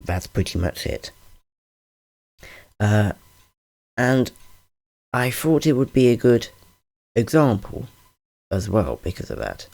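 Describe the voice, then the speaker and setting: Deep, gravelly man; slow, rambling, self-correcting tutorial host, dark tone, studio condenser mic. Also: sing-song. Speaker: channel host explaining audio gear. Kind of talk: casual screen-recorded tutorial. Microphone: large-diaphragm studio condenser.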